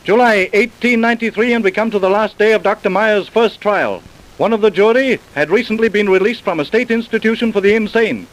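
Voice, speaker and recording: A man's voice: sits high, a little fast, a bit bright, poor audio. A man giving formal, dramatic scripted narration for an old-time radio crime drama.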